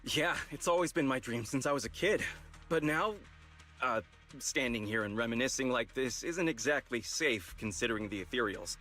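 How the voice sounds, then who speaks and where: Lively, easygoing young investigator. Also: male voice, mid-range voice, moderate pace, faint music behind. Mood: friendly, composed. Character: affable, composed young male investigator. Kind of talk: English video game voice acting.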